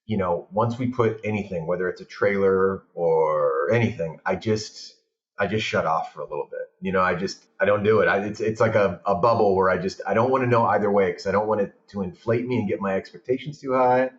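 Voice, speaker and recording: A man's voice: deep voice, brisk. A man born abroad chatting casually on a podcast, telling long rambling anecdotes. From Portugal. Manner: rambling, animated storytelling. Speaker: podcast guest, film director. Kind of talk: casual chat. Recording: roomy, muffled sound.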